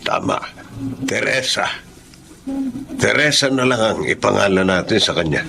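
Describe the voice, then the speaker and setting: A Filipino actor playing a tender, expressive middle-aged husband and father figure in a Tagalog radio drama. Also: fairly low pitch, unhurried, gravelly, noisy background. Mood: fond. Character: Romulo, doting middle-aged husband minding an abandoned baby.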